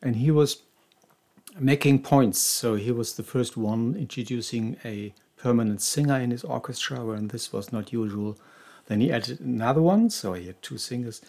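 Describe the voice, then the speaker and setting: A man's voice: mid-range voice, moderate pace, vocal fry, a little mellow. A man co-hosting a podcast, explaining tango history in a casual, knowledgeable way with frequent restarts. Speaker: podcast co-host.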